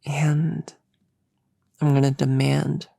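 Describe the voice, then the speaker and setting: Slow, low-voiced, hesitant young witch played by a woman. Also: flat delivery, gravelly, full character voice. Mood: defensive resolve. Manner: soft-spoken, hesitant, halting, breaking into whispers. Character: flustered young novice witch, earnest and sheepish friend. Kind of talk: ASMR audio roleplay.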